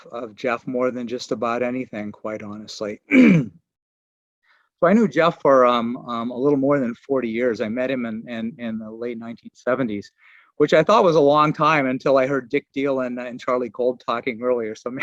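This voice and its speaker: A man in his sixties giving memorial remarks over a remote video call, halting and often restarting his sentences. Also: medium pitch, no echo. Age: 60s. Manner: halting, searching for words with many restarts. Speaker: archaeology and geography professor giving a memorial tribute. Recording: dull lo-fi call audio.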